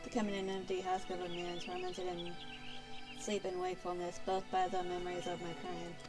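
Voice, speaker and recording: A woman's voice: fairly low pitch, level tone, fairly quick, lightly acted. A woman voicing a tormented, remorseful male archer turned bard from a fantasy game, in formal, archaic storytelling. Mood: solemn, regretful. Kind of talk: reading fantasy game dialogue aloud. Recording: game music behind.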